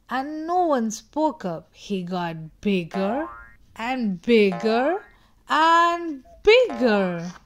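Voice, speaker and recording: A woman reading a children's storybook aloud with dramatic, deliberate phrasing. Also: on the high side. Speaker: storybook reader. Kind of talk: expressive children's read-aloud narration.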